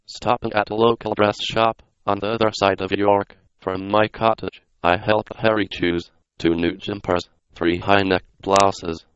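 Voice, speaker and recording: A computerized male voice reading scripted narration in a flat, undramatic way. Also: on the low side, unhurried, few pauses, smooth, dry recording, muffled recording. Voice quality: synthetic computer voice. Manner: flat, non-dramatic reading. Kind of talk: scripted narration read by text-to-speech.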